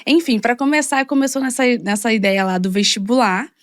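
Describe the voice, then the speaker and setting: A woman's voice: a little high, fairly quick, dry recording. Chatty Carioca woman, dry close sound. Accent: Carioca Brazilian Portuguese. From Rio de Janeiro, Brazil. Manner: chatty, animated storytelling with many fillers. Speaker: guest, model and content creator. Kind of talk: casual podcast conversation.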